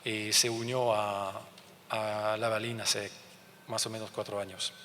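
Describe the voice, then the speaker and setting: A halting French Canadian man speaking in a reverberant conference hall. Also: on the low side, little pitch movement, bright, forceful tone, a little hiss or hum. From France and Canada. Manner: halting, searching for words, many restarts. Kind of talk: conference presentation.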